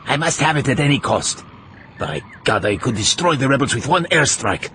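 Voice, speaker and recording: An actor playing a ruthless, commanding older Bolivian general turned dictator in an audiobook drama. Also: male voice, mid-range voice, very animated, normal speed, noisy background. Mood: greedy menace. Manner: commanding, imperious.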